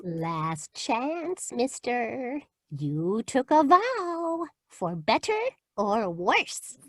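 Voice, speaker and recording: Woman playing high-voiced, blithe old lady vampire. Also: very animated, drawn-out words, no echo, clean studio sound, full character voice. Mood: menacing, fed up. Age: older. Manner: chatty, theatrical, matter-of-fact about killing. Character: sweet old lady turned vampire, blithely murderous wife. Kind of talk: 1940s-style radio horror acting.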